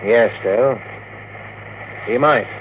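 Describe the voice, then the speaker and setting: An actor playing a sober, cautious lawyer advising his client, measured and formal, in a 1950s radio drama. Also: medium pitch, close to an everyday voice. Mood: grave, careful. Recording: tinny 1950s radio recording, faint noise.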